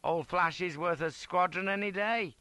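A hearty, loyal English sergeant major as a male narrator plays him, bluff but deferential. The working-class voice is raised in pitch and forceful, in a dry storybook recording. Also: normal speed, clean tone, dry recording. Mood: chatty, admiring. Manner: deferential, bluff soldierly speech.

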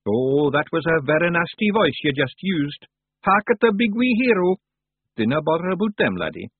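A man's voice: a little high, big pitch swings, moderate pace, slightly rough, no echo, narrow, tinny audio, pitched above the performer's own voice. A man voicing a small, cocky, fearless Scottish clan leader in a storybook, with brash, cheerful dialect.

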